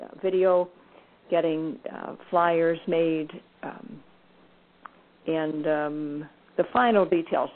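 A woman calling in as a seminar organiser, plainly laying out dates and plans. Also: on the low side. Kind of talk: casual phone chat. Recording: tinny phone line.

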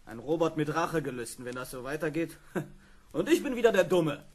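Man as a high-voiced grumbling space pilot. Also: lively intonation, a little fast, natural voice. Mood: exasperated. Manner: exasperated, blunt. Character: irritable, grumbling male space pilot bickering with his robot. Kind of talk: vintage German sci-fi radio play acting. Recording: noisy old radio play with ambience.

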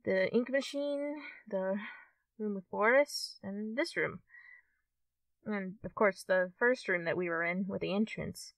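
Woman as shy, excitable fox girl for ASMR friend roleplay; halting, unhurried delivery, dry sound, slightly off-mic. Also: medium pitch, no echo, far from the mic, close to an everyday voice, rough recording. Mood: helpful, unsure. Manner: hesitant, halting, giggly, addressing the listener as a friend. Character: friendly young fox-tailed cartoon studio girl, shy, easily excited. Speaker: roleplay performer.

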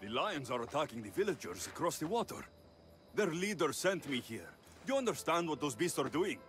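An actor with a Greek accent playing a confident, wry ancient Greek mercenary in a video game, dry and measured. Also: male voice, fairly high pitch, very animated, a bit bright, soft background music. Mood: firm.

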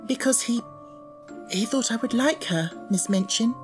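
A man voicing a polite, imaginative little girl in an audiobook, gentle and earnest in manner. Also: very high-pitched, a little slow, faint music behind, voice pushed up. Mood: shy, awkward. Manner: gentle, appreciative, precise. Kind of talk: audiobook character voice.

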